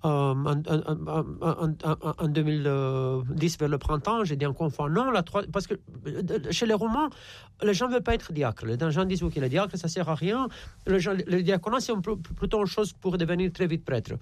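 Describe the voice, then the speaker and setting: A man from Romania, a guest priest speaking conversationally and haltingly, repeating words as he searches for them. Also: on the high side, no room sound. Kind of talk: conversational radio interview.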